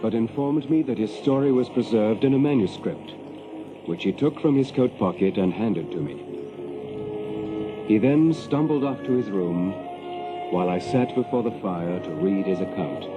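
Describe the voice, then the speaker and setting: An actor playing a composed gentleman who narrates the framing tale in a literary, scripted style. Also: fairly low pitch, lively intonation, measured pace. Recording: muffled phone-like band, loud music behind.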